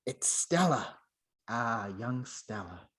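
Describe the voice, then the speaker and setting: Man as haunted old miser, mid-pitched, theatrical, exclaiming, stubborn, radio play. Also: big pitch swings, slow pace, a bit bright. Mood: fond, nostalgic. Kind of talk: amateur radio play acting.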